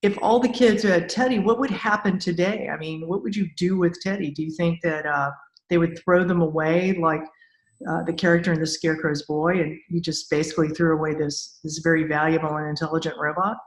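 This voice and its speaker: A woman's voice: deep voice, steady tone, fairly quick. A woman hosting a casual podcast interview, talking informally and rephrasing loosely as she goes. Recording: roomy, echoing sound.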